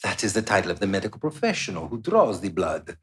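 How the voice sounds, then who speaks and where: A man's voice: deep voice, very animated, quick pace, a bit bright. A man playing an authoritative doctor explaining things in a live radio play. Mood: instructive.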